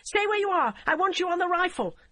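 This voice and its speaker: A woman's voice: extremely high pitch, animated, fast talker, a bit bright, no room sound, pitched above the performer's own voice. A woman voicing a ruthless, driven female police chief inspector who barks orders and swears harshly. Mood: commanding.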